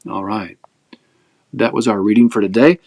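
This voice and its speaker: A man reading scripture aloud as a narrative, with measured, expressive phrasing, as host of a daily reading. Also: fairly low pitch, vocal fry, a little hiss or hum.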